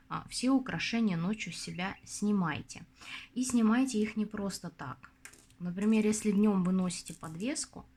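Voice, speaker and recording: Young female host, tarot reader, confiding chatty delivery, voice rough and going hoarse. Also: mid-range voice, a little mellow. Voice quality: husky. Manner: chatty, confiding, addresses viewers as girls. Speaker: tarot reader hosting her channel. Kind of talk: casual advice talk to viewers.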